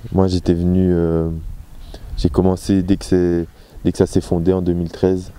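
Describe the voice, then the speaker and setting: A man's voice: sits low, completely flat delivery, normal speed, dark tone, slight background noise. A man, giving casual, hesitant interview answers on a handheld mic outdoors. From Belgium. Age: 20s. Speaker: footballer being interviewed. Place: open-air football pitch. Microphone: handheld microphone.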